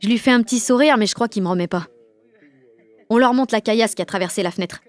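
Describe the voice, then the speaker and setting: High-voiced, slangy, sardonic teenage girl played by an actress. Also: fast talker, no echo, natural voice. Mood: sardonic, irritated. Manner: colloquial, heavily slangy, sardonic. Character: blunt, slangy, fiery sixteen-year-old French girl. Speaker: lead actress and narrator. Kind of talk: first-person narration in an audio drama.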